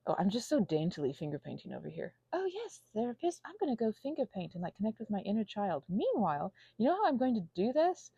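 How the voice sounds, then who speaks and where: A woman with a mellow, creaky voice rambles casually and anxiously to her audience. She stops and starts, wanders into tangents and adds playful, theatrical flourishes. The recording is close and dry. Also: mid-range voice, big pitch swings, no echo, lo-fi sound. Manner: halting, tangent-prone. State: anxious, panicky; unwell. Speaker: ASMR channel host.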